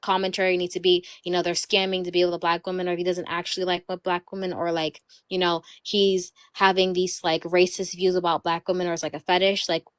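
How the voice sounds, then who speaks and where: A woman's voice: lowish voice, little pitch movement, fairly quick, few pauses, clean tone, no echo, studio quality. A young woman hosting a casual podcast chat, speaking in a rambling way full of "like" and "you know", careful to leave room for nuance. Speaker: podcast host. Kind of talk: casual conversation.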